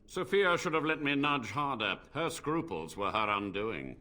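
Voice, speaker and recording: An actor playing an ancient, unrepentant blood mage in a fantasy video game, speaking in a grave, formal, theatrical way. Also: male voice, mid-range voice, very animated, moderate pace, bright, forceful tone.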